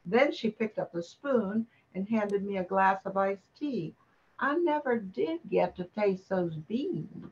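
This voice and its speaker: A woman's voice: mid-range voice, expressive, slow pace, lo-fi sound. An older woman playing a nosy, gossipy neighbour in an amateur radio play, chatting as if telling a tale. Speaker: amateur radio drama performer. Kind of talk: old-time radio play acting.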